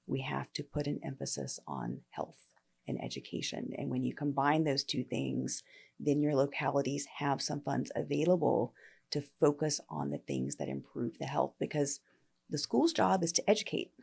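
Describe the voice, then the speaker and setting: A woman epidemiologist speaking as an interview guest, explaining firmly and clearly. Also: extremely low pitch, sing-song, moderate pace, darkish tone. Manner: firm, explanatory. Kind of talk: conversational interview.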